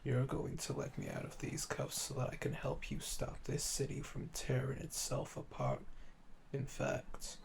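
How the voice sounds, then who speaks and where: A man acting a calm, flirtatious yandere assassin in a roleplay audio, with a gravelly voice that drops to breathy murmurs and slow, teasing, menacing delivery. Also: medium pitch, noisy background, exaggerated voice. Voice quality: gravelly, fry-laden, often dropping to breathy murmur. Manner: slow, teasing, seductive, drawling pet names.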